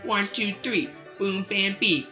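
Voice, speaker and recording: A woman's voice: mid-range voice, takes it slowly, sharp tone, faint music behind. A woman playing a brash, boastful young boy who barbers sheep, with punchy showmanship in a comic radio commercial. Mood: cocky.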